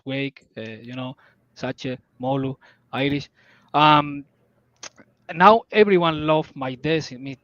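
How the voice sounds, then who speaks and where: A man with a Spanish accent speaks in a slightly raised voice, piecing together his English in halting bursts and warming to his subject as he goes. The call audio is dry and a little dull, as through a modest remote microphone. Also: a little high, no echo. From southern Spain. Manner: halting, searching for English words, enthusiastic. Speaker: community member guest. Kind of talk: casual call talk.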